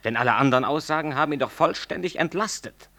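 An actor playing an embittered, suspicious doctor with a contemptuous, grumbling manner in a radio drama. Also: male voice, on the high side, animated, quick pace, pushed and bright, exaggerated voice. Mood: bitter contempt. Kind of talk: 1960s German radio play acting.

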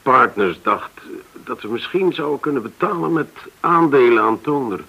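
Husky, hesitant businessman. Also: medium pitch, animated, moderate pace, lo-fi sound. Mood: polite, cautious. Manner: hesitant, polite, questioning. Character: guarded businessman probing a shady deal, polite. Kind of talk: radio play acting.

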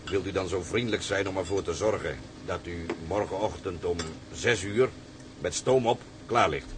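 Man acting a deep-voiced, stern convoy captain. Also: moderate pace, background noise, lightly acted. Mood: formal, commanding. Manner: formal, businesslike, commanding. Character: stern, formal convoy captain, brisk voice of authority. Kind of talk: dramatic dialogue.

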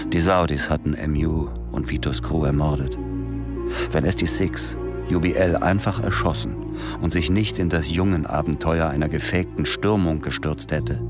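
A brooding man narrates a radio play in the first person. His voice is exceptionally deep, measured and reflective. Loud music runs behind him throughout. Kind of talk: scripted radio drama narration.

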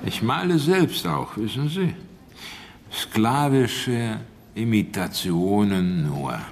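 An actor playing a haughty, melancholy middle-aged Russian art lover in a radio drama, theatrical and disdainful. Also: male voice, lowish voice, lively intonation, takes it slowly, slight background noise. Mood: rapt, wistful. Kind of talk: German radio crime drama dialogue.

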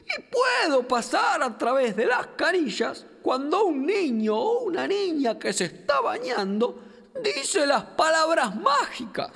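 A chubby, furry capybara from a children's radio play, a knowing and excitable river guide. The voice is high and swoops wildly, stretching words out slowly in a theatrical Rioplatense Argentine accent. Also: female voice, creaky, full character voice. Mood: exclaiming, amused. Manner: theatrical, exclamatory, explains patiently. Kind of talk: children's radio drama acting.

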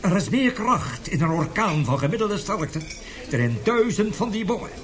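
An actor playing an older, indignant scientist professor in a radio play, vehement and lecturing, halting at times. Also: male voice, high-pitched, very animated. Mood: grave, urgent. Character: indignant, passionate scientist professor with a weak heart. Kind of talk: radio drama acting.

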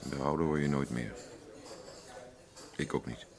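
An actor playing a weary, curt husband in a radio play, gruff and evasive, yet placating in a hesitant way. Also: male voice, very deep voice, a little slow, a crowd in the background, exaggerated voice. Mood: resigned. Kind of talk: Dutch radio play acting.

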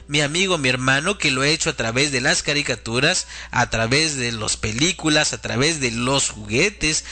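A man's voice: a little high, bright, forceful tone. A man hosting a religious program, preaching in an earnest, admonishing way and addressing listeners directly as a spiritual teacher.

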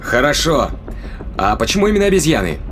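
Quick-talking, wry spaceship crewman. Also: fairly high pitch, big pitch swings, background noise. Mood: wry, explanatory. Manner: colloquial, explanatory, wry. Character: brisk, reasoning male spaceship crewman, sardonic. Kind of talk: audio drama acting.

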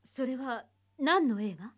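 A woman's voice: high-pitched, animated, slow, heavily acted. Resolute female investigator, former police profiler, composed and matter-of-fact, anime radio drama. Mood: probing. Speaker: voice actor.

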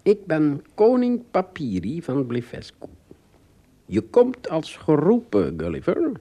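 A man playing a courteous, friendly tiny king in a radio play, speaking in a deliberate, regal manner with a creaky, husky voice. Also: fairly high pitch, big pitch swings, warm tone, heavily acted.

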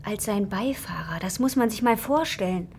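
A woman's voice: medium pitch, lively intonation, normal speed, creaky, a little mellow, slight background noise. An actress playing a young mother, polite and resolute under worry, in a naturalistic radio drama. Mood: worried. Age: young adult.

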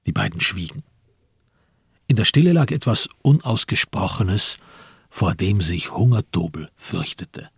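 A man narrating a radio drama from a script, in a measured literary storytelling manner. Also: low voice, sing-song, creaky, breathy edge, no room sound, studio quality.

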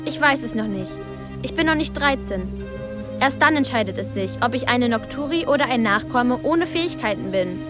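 A woman plays a timid yet knowing girl of twelve in a radio drama. Her voice is high and youthful, earnest and confiding. There is music clearly behind her. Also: animated, moderate pace, close to an everyday voice. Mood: earnest, secretive. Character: timid but knowing twelve-year-old island girl. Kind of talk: radio play acting.

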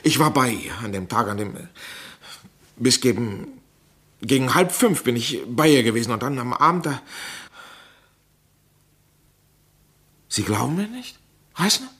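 Husky, agitated lover under suspicion. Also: male voice, medium pitch, very animated, fairly bright tone. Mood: anguished. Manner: emotional, urgent, halting confession. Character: agitated playwright, secret lover of murdered woman. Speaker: actor in a crime radio play. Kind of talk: German crime radio drama acting.

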